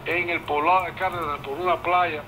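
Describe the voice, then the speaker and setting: A man's voice: fairly high pitch, fairly even intonation, quick pace, reverberant, over a noisy crowd, rough recording. A man narrating an account on a broadcast, heard through a shortwave radio. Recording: shortwave radio with heavy background babble.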